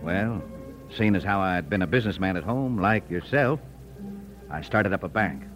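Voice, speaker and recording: An actor playing a wry, defiant American frontier editor with a folksy manner in an old radio Western. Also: male voice, deep voice, lively intonation, moderate pace, a bit bright, faint music behind. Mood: folksy recounting. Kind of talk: old-time radio Western drama acting.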